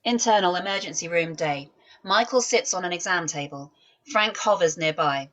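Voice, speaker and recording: A woman narrating stage directions in a script table read, measured and descriptive. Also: lowish voice, fairly bright tone.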